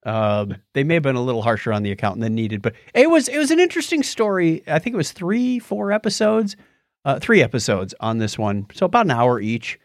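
A man with a mid-pitched voice talks casually as a podcast co-host. He retells a story conversationally, stepping aside for little comments. The sound is close and dry, with no room on it. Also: animated, fairly quick, no room sound. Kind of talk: casual chat, recounting a documentary.

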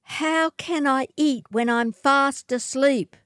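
A woman voicing a haughty, snobbish young outback woman in a storybook reading, with a shrill, sneering, theatrical delivery. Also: takes it slowly, fairly bright tone, no echo, clean studio sound. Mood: indignant.

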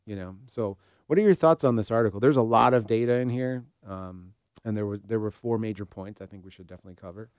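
A man's voice: fairly low pitch, fast, darkish tone, clean tone, no echo, studio quality. An American man hosting an informal podcast discussion in an easygoing, conversational way. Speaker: podcast host and practicing therapist.

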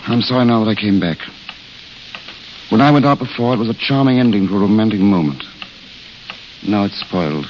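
An actor as a world-weary, eloquent romantic idealist. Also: male voice, on the low side, close to an everyday voice. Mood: bitter. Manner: courteous, literary, measured. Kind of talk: 1930s-40s radio drama acting. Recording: hissy, dull old radio recording.

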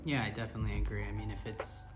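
A man's voice: lowish voice, little pitch movement, rapid-fire. A young man from Toronto with a Canadian accent, speaking casually as a student podcast guest. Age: young adult. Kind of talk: casual discussion. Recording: loud music under the voice. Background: music.

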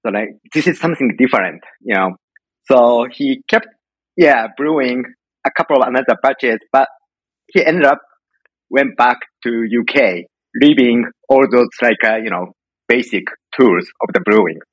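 A middle-aged man from Japan with a Japanese accent, telling stories casually as an interview guest in halting, informal phrasing. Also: medium pitch, husky, no echo, poor audio. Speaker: podcast guest, home brewer.

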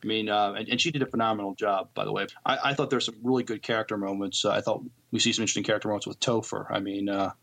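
A man talks at a very rapid clip in a slightly low voice. He sounds like a podcast co-host in an informal chat, reviewing TV. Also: steady tone, vocal fry, a bit bright.

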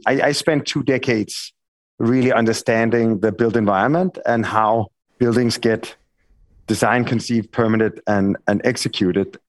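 A man's voice: fairly low pitch, no room sound. Hesitant Danish-accented man, dry sound. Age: middle-aged. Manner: rambling, hesitant, many restarts. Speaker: architect and company cofounder. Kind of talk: panel conversation.

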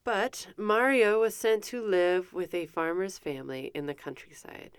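A woman's voice: fairly low pitch, lively intonation, unhurried, clean tone, no room sound, clean studio sound. A woman giving an informal history lesson, chatty and self-correcting, with frequent fillers and asides. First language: not French. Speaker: presenter explaining an educator's life. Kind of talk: casual informal lecture.

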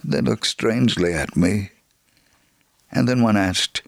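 A man reads a missionary's first-person account in steady, earnest narration. His voice sits low with a gravelly edge. The sound is dry and close to the mic. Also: no echo. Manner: measured, earnest storytelling. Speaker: reader of a missionary letter.

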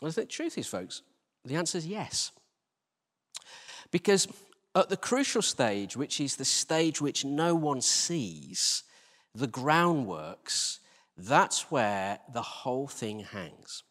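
Animated male preacher in an echoing hall. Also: mid-range voice, slow. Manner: self-deprecating, conversational, chuckling storyteller. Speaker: church team leader preaching. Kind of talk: anecdotal sermon. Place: church hall, live talk. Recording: reverberant hall.

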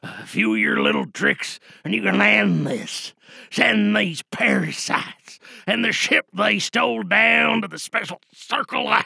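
A man playing a dying, infected space commander, gruff and desperate, barking strained orders with a rasping voice in a sci-fi audio drama monologue. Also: very high voice, sharp tone, no echo, voice pushed up, full character voice. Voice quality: gravelly, rasping.

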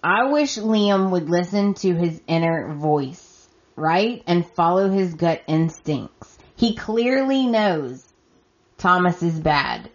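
A woman's voice: on the low side, lo-fi sound. A woman hosting a solo recap podcast, talking emphatically and addressing the characters directly while her voice turns scratchy from losing it. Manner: emphatic, talks straight to the characters. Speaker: podcast host.

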